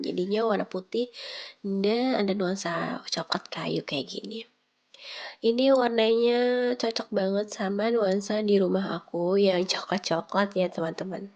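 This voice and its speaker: Casting: young woman, nasal and bunged-up with flu, mid-pitched, chatty home vlog voice-over. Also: darkish tone, highs cut off. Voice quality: congested, nasal from a cold. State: sick with flu and sore throat. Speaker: home vlogger.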